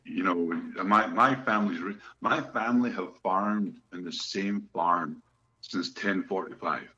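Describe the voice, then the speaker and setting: Middle-aged Scottish man, joking storyteller. Forceful tone, lo-fi recording. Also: low-pitched, a little slow. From Scotland. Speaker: guest musician. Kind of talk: casual interview chat. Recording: echoey room, dull lo-fi sound.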